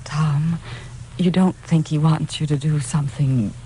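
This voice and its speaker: Gentle ailing wife, noisy old radio. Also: female voice, deep voice, steady tone, unhurried. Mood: worried, doubtful. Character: sick, anxious, gentle wife, doubting but devoted. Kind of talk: 1940s-50s radio detective drama acting. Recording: noisy vintage radio recording.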